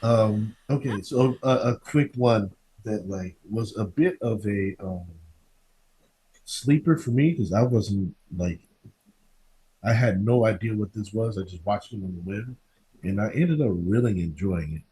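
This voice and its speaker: A man hosting a casual interview podcast, speaking in an easygoing, agreeable and somewhat halting way. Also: lowish voice, dark tone, a little hiss or hum, poor audio.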